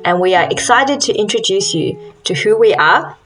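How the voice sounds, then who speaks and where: A woman's voice: medium pitch, expressive, normal speed, a bit bright, soft background music, poor audio. A young woman co-hosting a podcast, chatting casually in a friendly, upbeat way with frequent ums. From Australia. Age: young adult. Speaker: podcast co-host. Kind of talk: casual chat.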